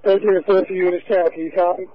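A man's voice: high voice, little pitch movement, fast, dry recording. A man speaking in terse police traffic over a two-way radio. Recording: tinny, narrow-band police scanner radio.

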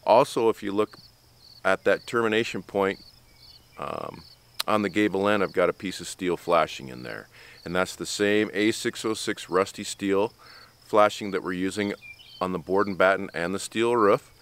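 Low-voiced man explaining outdoors. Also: normal speed, a bit bright, slight background noise. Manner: plainspoken, practical, many ums and restarts. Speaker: builder vlogger. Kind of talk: casual explanation to camera. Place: outdoors on an open building site. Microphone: camera close up at face height.

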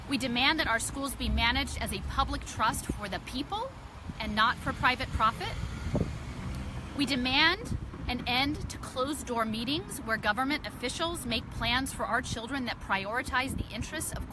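A middle-aged woman, a parent activist, earnestly reading a prepared statement from notes outdoors on a city street, recorded by a phone camera at arm's length. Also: a little high. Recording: loud street traffic noise. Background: traffic and city noise.

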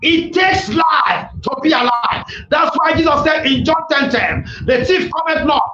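Shouting high-pitched male preacher. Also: measured pace, far from the mic. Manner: shouted, forceful, repetitive delivery. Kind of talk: fiery Pentecostal sermon with prayer declarations. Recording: echoey, dull, noisy room recording.

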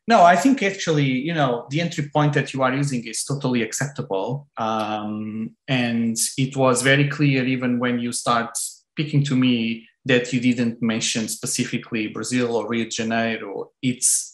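A man interviewing an artist over a video call, halting and searching for his words. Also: mid-range voice, steady tone. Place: room with bare walls. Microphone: video call laptop mic. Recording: echoey room on a video call.

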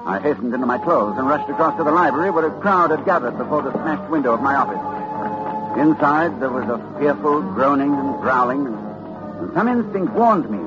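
A man's voice: on the high side, natural voice. A man acting a learned, hesitant university librarian recounting horror in a cultured, dramatic manner, in 1940s radio suspense style. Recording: dull old radio recording with music.

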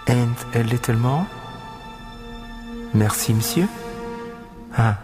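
A man's voice: mid-range voice, slow, close to an everyday voice. Guy doing a creepy polite killer man, gloating n very formal, radio thriller, echoey with some music. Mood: gloating politeness. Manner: mincingly polite, leisurely, formal. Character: suave, menacing male murderer, courteous and gloating. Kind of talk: 1950s radio thriller acting.

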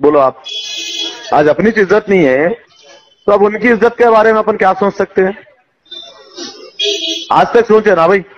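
A man's voice: high-pitched, a little slow, pauses often. A man with a Hyderabadi accent arguing indignantly and insistently in a heated phone conversation, firing off rhetorical questions. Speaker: local party politician. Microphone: phone call. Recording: dull lo-fi recorded phone call.

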